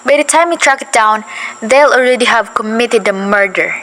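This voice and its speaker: A young actress voicing a nervous invalid wife who cannot leave her bed, her high voice pressing and insistent as she frets down the phone. She sounds demanding and close to panic, a figure from a small amateur radio play. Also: normal speed, fairly bright tone, lightly acted, low-quality recording. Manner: fretful, insistent, rising to panic. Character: anxious bedridden wealthy woman, nervous and demanding. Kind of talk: school radio play acting.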